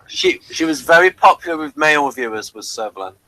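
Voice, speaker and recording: Lively-voiced man in casual chat. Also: fairly high pitch, a little fast, no echo, poor audio. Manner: chatty, reads out bits from a page. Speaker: podcast co-host. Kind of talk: casual chat reviewing a TV episode.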